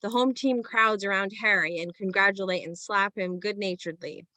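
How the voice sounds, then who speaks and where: A woman's voice: mid-range voice, steady tone, moderate pace, bright, forceful tone, no echo. A woman reading screenplay stage directions as scripted present-tense narration.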